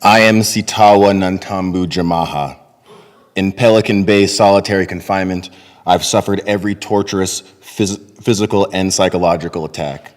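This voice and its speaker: A middle-aged man giving a measured, earnest dramatic reading of testimony at a lectern. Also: low-pitched, steady tone. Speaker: reader in a readers theater. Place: large temple sanctuary, at a lectern. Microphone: podium microphone on a boom stand. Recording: long hall reverb.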